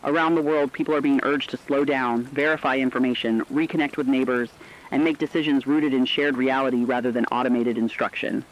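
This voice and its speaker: Dull lo-fi sound, man as earnest radio correspondent, mid-pitched, formal, deliberate, scripted emergency broadcast. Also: steady tone, natural voice. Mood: solemn, hopeful. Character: earnest radio correspondent in a world of failing technology. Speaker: broadcast co-anchor.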